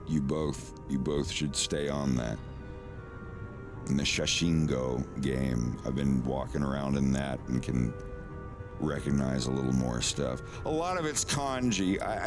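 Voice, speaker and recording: A man streaming live in casual chat, relaxed, often stammering and repeating words and swearing now and then. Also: very deep voice. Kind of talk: casual live-stream chat while doing language lessons.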